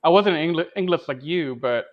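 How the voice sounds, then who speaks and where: Slightly high-voiced man chatting casually. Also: expressive, fairly quick, muffled recording. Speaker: podcast co-host. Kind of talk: casual chat.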